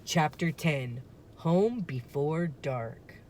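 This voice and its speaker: A woman reading a children's book aloud with expressive, deliberate, dramatic phrasing. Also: low-pitched, a little hiss or hum. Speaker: storybook reader.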